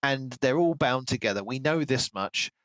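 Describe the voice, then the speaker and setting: A man's voice: medium pitch, expressive, normal speed, fairly bright tone, no echo, rough recording. A man co-hosting a casual book discussion, giving his opinions in an analytical way. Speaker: podcast co-host.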